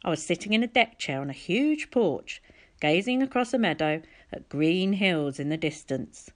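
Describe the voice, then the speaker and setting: Low-pitched woman reading a story. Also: lively intonation, moderate pace. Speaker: audiobook reader. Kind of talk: storybook narration, reading aloud.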